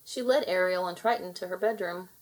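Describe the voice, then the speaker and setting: A woman narrating a children's story aloud in an expressive read-aloud style. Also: fairly low pitch, a little fast, rough recording. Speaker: storybook reader.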